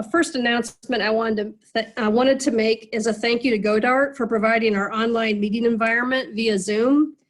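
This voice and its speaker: A woman moderating an online meeting over a video call, sounding nasal from a cold, chatty with many fillers and restarts. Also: a little high.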